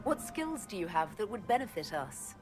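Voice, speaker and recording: A woman playing a composed, direct heroine and leader in a fantasy video game, speaking in a measured way. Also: medium pitch, sing-song, music in the background, close to an everyday voice. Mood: questioning, composed.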